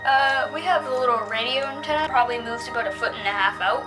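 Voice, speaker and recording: High-pitched casual product reviewer, female-sounding. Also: quick pace, over music, poor audio. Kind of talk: casual unscripted product demonstration.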